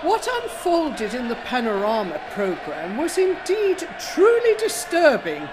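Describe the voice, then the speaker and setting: A woman's voice: on the high side, wildly swooping pitch, a little slow. A woman playing a scornful Leader of the Opposition, making a pointed, formal parliamentary speech in a radio drama. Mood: triumphant, cutting. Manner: pointed, declamatory. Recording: noisy chamber with crowd murmur.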